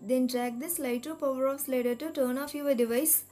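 A woman reading a scripted step-by-step tech tutorial in a measured, instructional manner. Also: sits high, steady tone, dark tone. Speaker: tutorial narrator. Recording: voice-over with music behind. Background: background music.

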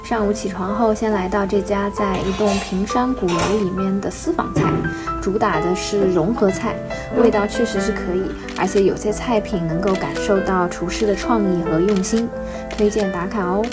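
A woman's voice: medium pitch, steady tone, moderate pace. A young woman narrating her travel vlog in a light, upbeat scripted voice-over. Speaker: travel vlogger. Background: background music.

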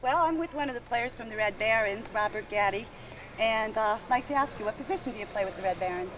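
A woman's voice: on the high side, fast talker. A young woman interviewing at an outdoor ballpark with a handheld mic, peppy and cheerleading. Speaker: company video interviewer. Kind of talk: upbeat on-camera interviews. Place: outdoor baseball stadium. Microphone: handheld mic on camcorder audio. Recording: distant camcorder audio with noise.

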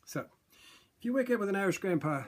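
A man in his sixties telling a made-up family story in a rambling, playful, deadpan way to a webcam in a small room at home. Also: high voice, fast talker, background noise. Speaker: vlogger. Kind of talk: rambling comic monologue. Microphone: webcam at desk distance.

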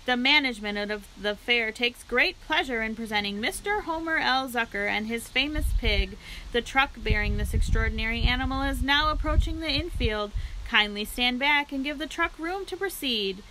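A woman's voice: a little high, normal speed, pushed and bright, slight background noise, rough recording. A woman putting on a pompous fair announcer. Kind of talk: reading a children's book aloud.

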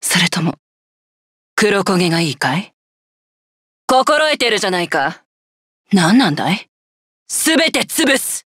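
Arrogant, scornful villainess general. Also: female voice, medium pitch, big pitch swings, a little fast, pauses often, a bit bright, no room sound, studio quality. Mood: menacing, angry. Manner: haughty, scornful, theatrical taunting. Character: cruel, arrogant female lancer general, sadistic and scornful. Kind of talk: Japanese video game character voice lines.

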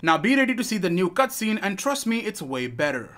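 A man's voice: high voice, very animated, fairly quick, noisy background. A man hosting a gaming video, giving scripted commentary over game footage. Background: music.

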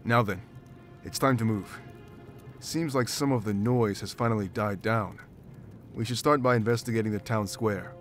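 A man's voice: lowish voice, moderate pace, faint music behind, close to an everyday voice. A man voicing a stern, protective wolf-like warrior in a video game, speaking in a firm, commanding way. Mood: stern, insistent.

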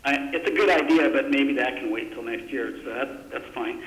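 A male council member with a mid-pitched voice works through budget questions in an echoey meeting room. He is polite and tentative, often breaking off and starting again. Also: normal speed, a bit bright. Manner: hesitant, polite, self-interrupting. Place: indoor council chamber.

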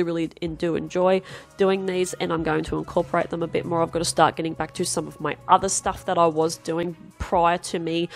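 An Australian woman with a nasal, stuffed-up voice from a cold, chatting casually and self-deprecatingly as she hosts her art channel. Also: on the low side. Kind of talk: casual chat while drawing. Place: home desk, indoors.

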